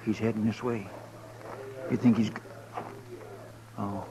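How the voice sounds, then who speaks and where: An actor playing a deferential, anxious deputy sidekick in a 1950s radio western, respectful and folksy. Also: male voice, on the low side, little pitch movement, unhurried, rough recording. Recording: 1950s radio recording with crowd behind.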